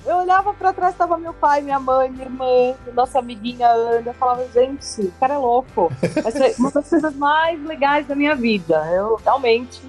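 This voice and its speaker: Brazilian lady with a highish voice, chatty and candid, bit of music in the background. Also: normal speed, few pauses, a little mellow, poor audio. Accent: Brazilian Portuguese. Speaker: podcast guest. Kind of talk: casual conversational storytelling.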